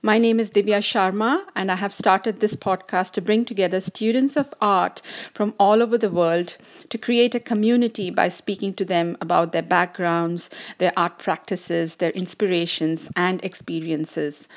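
A woman hosts a podcast interview, asking her questions politely and pausing often on ums and uhs. Her voice sits at a middle pitch and comes through as a remote call. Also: steady tone. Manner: polite, hesitant with many fillers. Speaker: podcast host.

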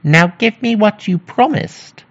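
A man voicing a spiteful, gleeful little mannikin from a fairy tale, taunting with drawn-out exclamations. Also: high-pitched, expressive, dry recording, dull audio, voice pushed up. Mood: demanding.

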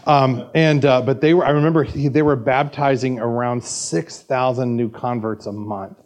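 A male pastor gives a sermon at a church service in a chatty, joking way. He tells stories as he goes, often restarting sentences and filling pauses with "um" and "uh", at an ordinary mid pitch for a man. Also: fairly even intonation, a little mellow. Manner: conversational, jokey, frequent restarts and fillers. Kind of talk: sermon with anecdotes.

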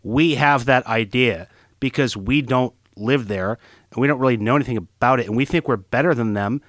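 A man's voice: mid-range voice, moderate pace, a bit bright, dry recording. A man co-hosting a film podcast, talking things through in a thoughtful, casual way and laughing often. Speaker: podcast co-host.

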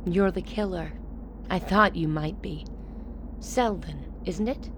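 A woman's voice: on the low side, takes it slowly, background noise. Woman acting a sly, commanding lady leader. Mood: probing, cool. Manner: probing, teasing, self-assured. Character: tall commanding woman political leader, sly and wryly amused. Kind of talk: radio play acting.

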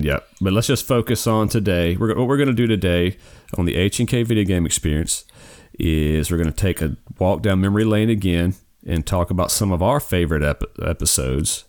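Deep-voiced forty-year-old man podcasting. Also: darkish tone. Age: 40s. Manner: rambling, easygoing, says "man" a lot. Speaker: podcast co-host. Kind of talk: casual podcast chat.

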